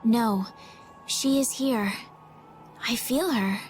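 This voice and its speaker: A woman voicing a gentle, earnest, polite young princess in a video game. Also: a little high, very slow, a little hiss or hum. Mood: wondering.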